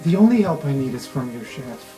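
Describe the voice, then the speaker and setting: A man's voice: medium pitch, sing-song, a little fast, music in the background, lightly acted, poor audio. Man playing a haughty, spiteful rich man. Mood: sneering. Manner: sneering, formal.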